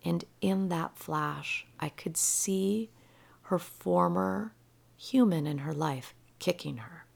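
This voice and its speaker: A woman gently telling a personal story about healing a rescued dog, her voice soft and often dropping to a near whisper. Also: fairly low pitch, vocal fry. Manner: gentle and slow-paced. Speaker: animal healer.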